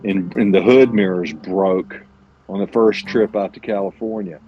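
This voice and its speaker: A man's voice: low voice, steady tone, normal speed, dull audio, faint music behind. A man who drives his own truck, answering interview questions in a plainspoken, earnest way, with many restarts and self-corrections. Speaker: owner-operator truck driver, interview guest.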